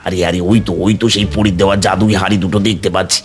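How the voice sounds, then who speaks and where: A suspicious, greedy village uncle, played by a man with a fairly low voice. He exclaims in disbelief and repeats himself, cartoon style, over clear background music. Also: little pitch movement, very fast, lightly acted. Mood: greedy scheming. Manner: exclamatory, incredulous, repeats words. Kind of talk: children's fairy tale cartoon dub.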